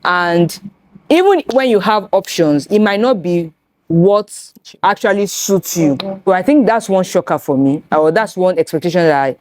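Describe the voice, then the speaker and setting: A Nigerian woman hosting a casual chat with friends, animated and slipping into Yoruba phrases. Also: lowish voice, moderate pace, no echo. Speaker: host.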